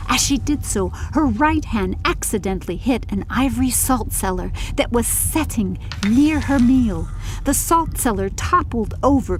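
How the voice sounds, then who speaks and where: A woman's voice: a little high, a little hiss or hum, rough recording. A woman reading scripted audiobook narration of a story.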